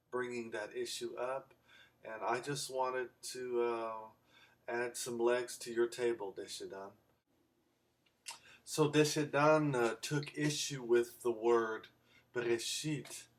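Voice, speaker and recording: A man raised in Belgium, giving an informal explanatory lecture in a halting, deliberate way with long pauses. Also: medium pitch, recorded from a distance. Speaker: amateur Bible scholar giving a video response.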